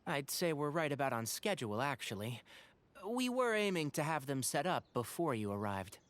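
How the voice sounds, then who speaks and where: A man voicing a courteous, gentle young forest ranger in a video game, polite and articulate. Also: on the high side, sing-song, moderate pace. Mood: friendly, composed.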